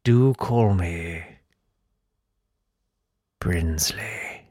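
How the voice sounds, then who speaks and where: A camp, ghostly old count from a comic vampire story, acted out by a man. He speaks in a slow, drawn-out, courteous way, genteel and languid, in a close, dry sound. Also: low-pitched, darkish tone, slightly rough, no room sound, pitched below the performer's own voice, exaggerated voice.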